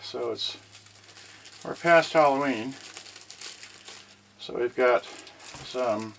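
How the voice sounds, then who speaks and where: Slow-talking man rambling over noisy crinkling. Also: medium pitch, creaky, fairly bright tone. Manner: halting, muttering asides to viewers, mildly grumbling. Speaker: unboxing vlogger. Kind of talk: casual rambling unboxing commentary. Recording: noisy recording with rustling packaging. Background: clicks and crinkling packaging.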